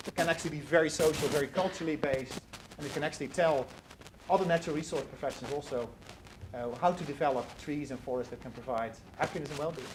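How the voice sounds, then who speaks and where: A middle-aged man lectures at a quick clip, earnest and prone to restarting words mid-thought. His voice sits a little high for a man. The recording carries clear background noise from the hall. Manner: earnest, repeats words while searching for phrasing. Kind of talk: academic lecture and Q&A answers.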